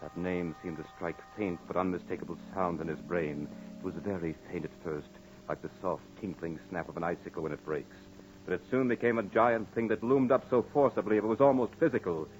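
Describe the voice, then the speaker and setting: A man's voice: low-pitched, a little fast. A man narrating a suspense story in a brooding, theatrical 1940s radio style. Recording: dull lo-fi 1940s radio recording.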